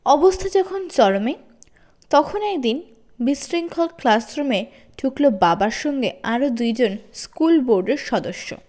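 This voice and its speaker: High-pitched, animated woman storyteller. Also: measured pace. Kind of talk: expressive audiobook narration of a children's story.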